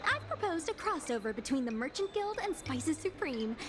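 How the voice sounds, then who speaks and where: A woman voicing a brisk, enterprising young female merchant in a video game, with music behind her. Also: high-pitched, very animated. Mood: upbeat. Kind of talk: video game character dialogue.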